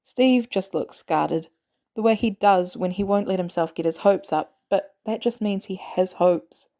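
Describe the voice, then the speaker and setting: A woman reading scripted fiction as an audiobook narrator, with expressive delivery. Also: medium pitch, measured pace.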